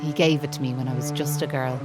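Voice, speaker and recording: A woman with an Irish accent voicing a gentle, earnest, quietly brave young woman in a video game. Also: a bass voice, fairly even intonation, fast. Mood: tender, reflective. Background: loud music.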